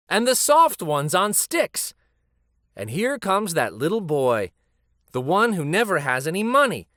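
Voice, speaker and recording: A man voicing a gentle, nostalgic old grandfather from a picture book, musing and a little hesitant. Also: high-pitched, no room sound, studio quality, voice pushed up, exaggerated voice. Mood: tender, calling out. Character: gentle, nostalgic old Japanese grandfather, retired street storyteller.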